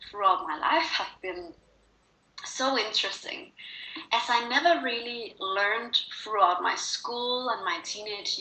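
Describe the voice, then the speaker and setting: A woman with a Danish accent speaks in a bright, forward voice as she shares a personal story in an interview. Her delivery is earnest and emphatic, often repeating words for stress. The room adds a noticeable echo. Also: mid-range voice. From Denmark. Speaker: interview guest, wellness speaker and coach.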